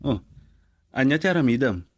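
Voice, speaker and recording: A man's voice: mid-range voice, lively intonation, moderate pace, slightly rough. A man playing a teasing buddy. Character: teasing male friend asking questions. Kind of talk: radio drama acting.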